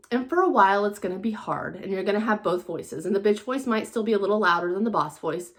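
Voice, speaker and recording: American woman, slightly low pitch, motivational coach, casual solo chat, earnest, encouraging, emphatic repetition.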